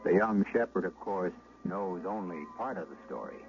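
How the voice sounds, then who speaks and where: Gravelly male radio host, tinny broadcast. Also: low voice, lively intonation, darkish tone, faint music behind. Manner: deliberate, theatrical, ominous. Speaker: radio drama host and narrator. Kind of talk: dramatic old-time radio host narration.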